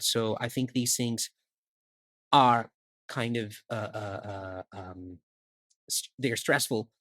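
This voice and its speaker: A young Brazilian man co-hosting a podcast, speaking English with a British-leaning accent. His voice is mid-pitched, and he explains things steadily, pausing now and then on an uh. The recording is dry and close, with no room echo. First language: Portuguese. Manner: steady, explanatory, some hesitant fillers. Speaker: podcast co-host. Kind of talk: casual podcast chat in English.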